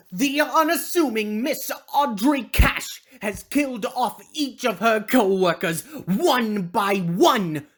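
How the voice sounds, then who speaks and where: A woman playing a theatrical, long-suffering announcer who narrates a melodramatic radio serial in an arch manner. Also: fairly high pitch.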